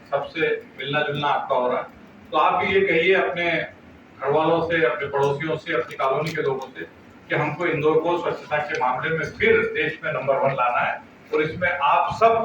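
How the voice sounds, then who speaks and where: Bright-voiced male compère in an echoey hall. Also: fairly high pitch. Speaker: event compère. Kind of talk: stage announcing and public address. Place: indoor event hall. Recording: very echoey hall, distant dull mic, noise.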